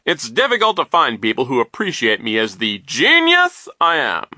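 A man's voice: medium pitch, wildly swooping pitch, normal speed, bright, forceful tone, no echo, clean studio sound, pitched above the performer's own voice, heavily acted. A man voicing a conceited, petty school vice principal in an audiobook story, speaking in a pompous, self-important way. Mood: self-satisfied.

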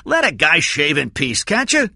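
A man's voice: medium pitch, wildly swooping pitch, measured pace, pushed and bright, slightly rough, no echo, studio quality, voice pushed up, full character voice. A man voicing a menacing male kidnapper with a sneering, bullying manner. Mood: irritated. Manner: sneering, threatening, mock-sweet taunts.

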